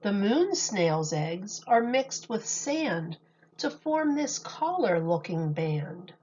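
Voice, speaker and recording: A woman teacher reading a picture book aloud to young children in a deliberate, expressive storytelling manner. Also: mid-range voice, highs cut off, a little hiss or hum.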